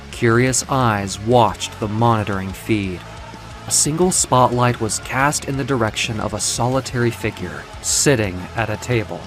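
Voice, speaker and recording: A man narrating a dark fiction story in a dramatic, theatrical and deliberate way, with music behind him. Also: medium pitch. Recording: music under the voice.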